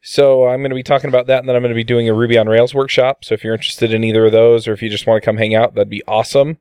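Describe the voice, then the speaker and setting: A man's voice: medium pitch, level tone, rapid-fire, darkish tone, smooth, no echo, clean studio sound. A man hosting a podcast panel, upbeat as he moves the show along. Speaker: podcast host. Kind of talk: casual podcast chat.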